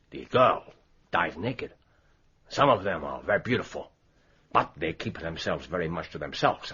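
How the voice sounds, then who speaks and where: Deep-voiced, animated spy chief. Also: male voice, slightly rough, exaggerated voice. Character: Japanese spy chief, courteous, commanding, dryly teasing. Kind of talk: radio drama acting. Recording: dull, noisy radio drama recording.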